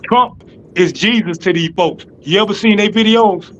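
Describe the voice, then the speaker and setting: Southern man, panel guest, loud and insistent, highish voice, lo-fi call. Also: moderate pace, a little hiss or hum. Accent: Southern American. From American South. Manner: loud, insistent, talks over others, swears. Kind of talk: heated panel argument.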